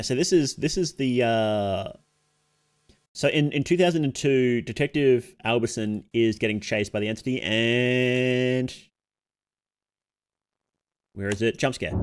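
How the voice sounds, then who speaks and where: Male livestream reactor, medium pitch. Casual, unscripted commentary, often questioning himself and reasoning things through aloud. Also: sing-song, measured pace, darkish tone. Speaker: streamer reacting to horror videos.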